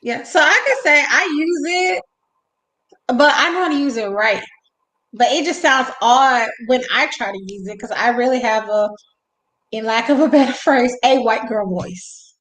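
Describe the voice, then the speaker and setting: A young woman with a raspy voice, hosting a casual livestream chat from home on a video call and reading out viewer comments. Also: on the high side, moderate pace, fairly bright tone, echoey room, low-quality recording. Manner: chatty, reads viewer comments aloud. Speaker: livestream host.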